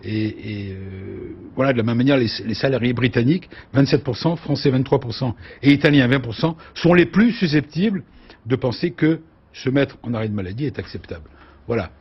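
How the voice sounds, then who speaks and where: A man hosting a business TV panel, reading out survey figures with quick asides to his guests. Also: mid-range voice, slightly rough, highs cut off. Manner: lively interviewer, reads out figures, quick asides. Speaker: TV show host. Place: TV studio.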